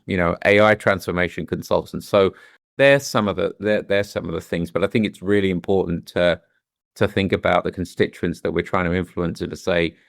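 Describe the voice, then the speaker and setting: A deep-voiced, middle-aged British man talks things through with candour, pausing and restarting to find his words. His voice is smooth and resonant, and the recording is close and dry. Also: no room sound. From London, England. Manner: thoughtful, with frequent restarts and "you know"s. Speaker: guest. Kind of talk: candid reflective conversation.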